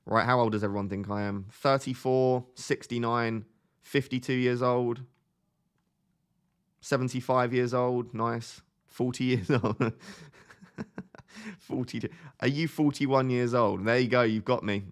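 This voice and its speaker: A man's voice: medium pitch, steady tone, a little slow, long pauses. A young man in his twenties hosting a live stream, chatting casually and thinking aloud as he answers viewer questions. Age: 20s. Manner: thinks aloud in a chatty way, often restarting phrases.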